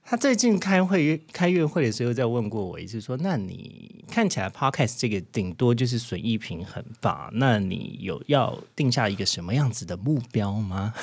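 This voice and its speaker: Playful Taiwanese Mandarin man, very lively pitch. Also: moderate pace, creaky, hardly any pauses, no room sound. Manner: playful, mixes in English phrases. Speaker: podcast guest. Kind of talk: casual, bantering interview chat.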